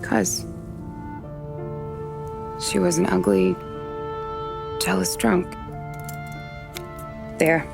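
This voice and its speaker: A woman's voice: on the low side, steady tone, very slow, gravelly, pauses often, music in the background, exaggerated voice. An American woman playing a tough, wry young 1930s neighborhood woman in a game, blunt and teasing in her slangy talk. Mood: matter-of-fact. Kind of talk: game cutscene acting.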